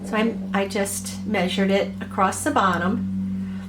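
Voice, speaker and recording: A woman with a cold, a little hoarse, chatting gently through a craft tutorial with many pauses and ums. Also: medium pitch, steady tone, background noise. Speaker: crafter showing her ornaments.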